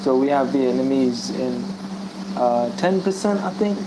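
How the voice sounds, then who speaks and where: A man's voice: mid-range voice, animated, unhurried. A man in a casual group discussion, rambling through a personal story with many restarts and fillers. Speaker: discussion guest. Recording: audible background noise.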